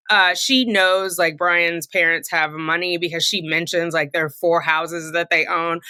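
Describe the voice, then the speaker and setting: A middle-aged woman host talks casually and bluntly, sharing opinions in colloquial language. Her voice sits a bit low yet is bright and forceful. The sound is dry and close, with little room to it. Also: clean tone, no room sound. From Fresno, California. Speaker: commentary channel host. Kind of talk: casual opinion commentary on a court case.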